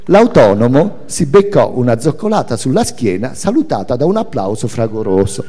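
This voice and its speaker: A man giving an expressive, dramatic literary reading, telling a story as a narrator. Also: medium pitch, normal speed. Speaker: reader of a memoir. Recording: reverberant hall sound.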